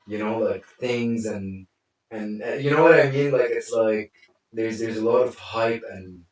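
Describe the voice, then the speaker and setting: Deep-voiced man rambling in an echoey room. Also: measured pace, a little mellow. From Lebanon. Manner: rambling, many fillers and restarts. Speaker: consultant. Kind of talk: casual conversation. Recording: echoey room, mic far off.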